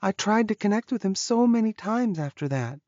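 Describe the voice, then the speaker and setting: A woman's voice: medium pitch, lively intonation, moderate pace, mellow, dry recording, highs cut off, lightly acted. A woman playing an anxious, self-doubting young werewolf in a dramatized audiobook, earnest and pleading. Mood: earnest insistence.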